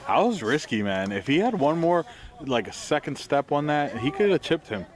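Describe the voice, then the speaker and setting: Relaxed joking color commentator man. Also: on the high side, normal speed. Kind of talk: casual live match commentary. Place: outdoors at a soccer field sideline.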